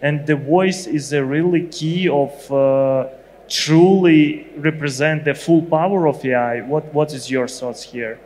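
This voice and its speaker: Male stage interviewer from Ukraine, slightly high voice, halting phrasing, echoing hall with faint noise. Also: darkish tone. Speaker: interviewer, government ministry representative. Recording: reverberant hall with background noise.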